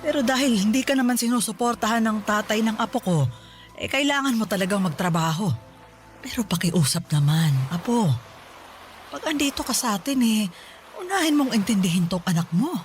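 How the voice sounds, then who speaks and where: A Filipino grandmother played by a woman in a radio drama, her voice fairly high. She scolds and pleads out of care, with emphatic reproach. Soft music runs behind her. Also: lively intonation, a little fast, lightly acted. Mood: reproachful, pleading. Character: scolding, caring old grandmother guarding her baby grandchild.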